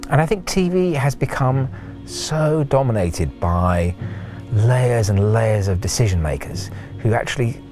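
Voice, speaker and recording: A man's voice: mid-range voice, lively intonation, a little slow, darkish tone, faint music behind. A man who makes audio drama, giving his views in an interview. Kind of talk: interview commentary.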